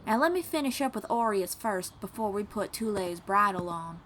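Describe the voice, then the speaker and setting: A woman's voice: medium pitch, animated, moderate pace, slight background noise, close to an everyday voice. A woman playing a kind, patient young bard in an audio roleplay, teaching the listener in an encouraging, folksy way. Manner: encouraging, gently instructive, folksy.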